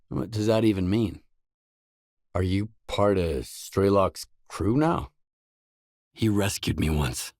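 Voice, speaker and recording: A man's voice: lowish voice, darkish tone, no room sound, studio quality. A man playing a determined, blunt stalker in a video game, speaking tersely and seriously in matter-of-fact dialogue lines. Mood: resolute. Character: determined, blunt male stalker adventurer in a grim zone. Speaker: game protagonist.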